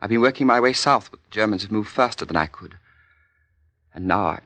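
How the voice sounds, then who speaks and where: An actor playing a polite, stranded RAF pilot in a 1940s radio drama, with a British accent and a clipped, understated manner. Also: male voice, on the low side, expressive, a little fast, bright, forceful tone, no room sound. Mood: calm, understated.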